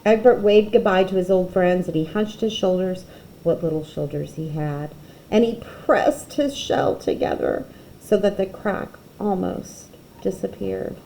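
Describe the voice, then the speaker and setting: Low, mellow woman reading a picture book. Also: a little slow, slight background noise, poor audio. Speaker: storyteller reading aloud. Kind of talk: expressive children's picture-book read-aloud.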